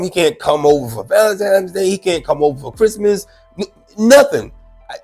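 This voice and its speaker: Congested man hosting casual commentary. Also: a little high. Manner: chatty, animated, repeats himself. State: congested, sick. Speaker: show host. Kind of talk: casual commentary on a news clip.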